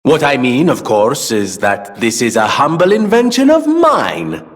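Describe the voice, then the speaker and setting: A man's voice: mid-range voice, huge pitch swings, measured pace. An actor playing a wealthy, vain inventor and philanthropist in a video game, with pompous, flowery speech that turns hesitant when he is flustered. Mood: proud, playful. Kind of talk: theatrical video game voice acting. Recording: long reverberant hall.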